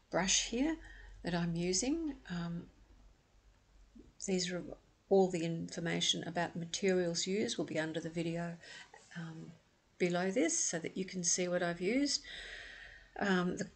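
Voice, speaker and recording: Calm, husky woman teaching watercolour. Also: fairly low pitch. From Australia. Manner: calm, patient, instructive, with frequent ums. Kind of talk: step-by-step painting demonstration voice-over.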